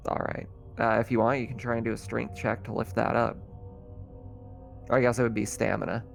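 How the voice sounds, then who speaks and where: A man acts as game master in a casual tabletop session. His voice is mid-pitched with noticeable fry, and he narrates off the cuff, conversationally, over faint music. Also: level tone, fairly quick. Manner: casual, conversational, thinking out loud.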